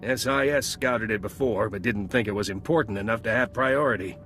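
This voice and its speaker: An actor playing a gruff, businesslike male military intelligence agent in a video game. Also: on the low side, brisk, sharp tone, faint music behind. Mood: dry, businesslike.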